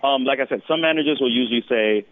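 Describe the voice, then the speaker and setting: A man working customer service speaks over a narrow, tinny phone line with no room sound. He explains patiently, in a halting way. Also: medium pitch, no room sound. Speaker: store customer service worker. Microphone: telephone.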